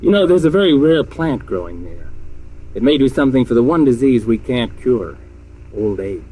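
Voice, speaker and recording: Earnest, very animated radio-drama man. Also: medium pitch, moderate pace, slightly rough, rough recording. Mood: fond farewell. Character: kindly, devoted male field researcher of the future. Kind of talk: 1950s radio acting.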